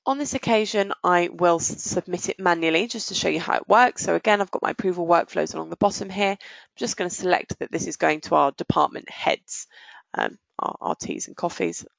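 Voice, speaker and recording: Woman, software demonstrator, lowish pitch, explanatory and methodical, very dry muffled sound. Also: fairly quick, gravelly, no echo. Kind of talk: walkthrough presentation of business software.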